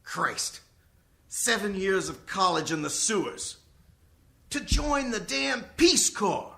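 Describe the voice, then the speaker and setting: A woman's voice: mid-range voice, sing-song, takes it slowly, vocal fry, pushed and bright, exaggerated voice. A woman playing a drunken, ranting college frat misfit in an amateur radio comedy. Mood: disgusted. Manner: ranting, declamatory. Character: drunken, blustering male frat misfit rallying his friends.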